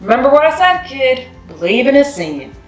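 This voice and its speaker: A woman's voice: high voice, very animated, brisk, voice pushed up. Woman voicing a kindly, jolly older man, roomy echo. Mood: warm, reassuring. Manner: warm, reassuring, emphatic. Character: kindly, jolly older male school janitor. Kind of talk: children's storybook read-aloud. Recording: roomy echo, music behind.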